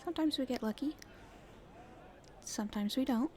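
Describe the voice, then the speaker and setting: A woman playing a cheeky young street thief in an ASMR roleplay, chatting casually and haltingly to the listener. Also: sits high, dark tone, slight background noise, natural voice. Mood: hesitant, evasive. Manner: casual, halting speech with hesitations.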